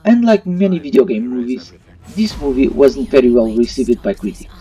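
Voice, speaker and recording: Animated non-native English-speaking man reviewing, mellow voice. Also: mid-range voice, normal speed, husky. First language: not English. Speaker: host of a retro gaming video channel. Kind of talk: opinionated video review narration. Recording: distant mic, faint music underneath.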